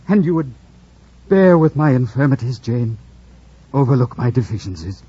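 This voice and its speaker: An actor playing an anguished, passionate blind master in a 1940s radio drama, with halting, fervent delivery. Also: male voice, medium pitch, animated, mellow, husky. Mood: overcome, adoring. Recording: dull vintage radio recording.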